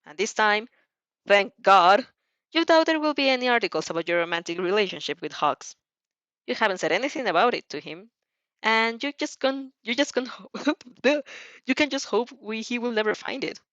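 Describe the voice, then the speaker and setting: A woman's voice: on the high side, lively intonation, normal speed, a bit bright, dry recording, muffled recording. A woman reading fan fiction aloud expressively, giggly and casual, stumbling over words and rereading. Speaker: fan fiction reader and reactor.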